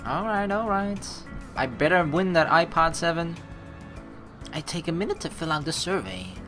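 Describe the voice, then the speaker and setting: A woman with a low voice hosts a let's play, talking in a chatty, slangy way. Her pitch swings in a lively manner as she comments and reads story text. Faint music plays behind her. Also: normal speed. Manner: chatty, slangy, expressive reactions. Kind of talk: gaming commentary, reading story text aloud.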